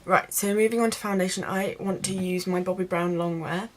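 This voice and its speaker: A woman's voice: fairly low pitch, fairly even intonation, fairly quick. A woman chatting casually as a beauty vlogger, explaining things step by step to her viewers in an informal tutorial style. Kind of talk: casual makeup tutorial chat.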